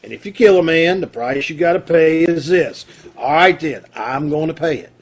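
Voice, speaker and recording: Stoic condemned prisoner, slightly high-pitched man acting. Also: normal speed. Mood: firm, unrepentant. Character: stubborn, unrepentant condemned murderer, proud and fatalistic. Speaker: amateur radio-troupe actor. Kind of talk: old-time radio play acting.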